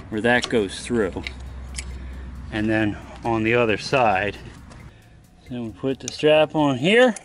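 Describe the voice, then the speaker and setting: A man giving a casual step-by-step how-to while working on a boat trailer on his driveway, often turning away from a low camera. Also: fairly bright tone, a little hiss or hum. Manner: plain, practical, step-by-step explaining while working. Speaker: DIY vlogger. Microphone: camera mounted low on the ground, voice often off-mic.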